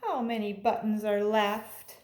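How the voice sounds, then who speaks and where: A woman reading aloud to young children like a teacher, in an animated way with simple phrasing addressed straight to them. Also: medium pitch, a little slow. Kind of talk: children's read-aloud, with sung refrains and asides to listeners. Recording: echoey room with faint background noise.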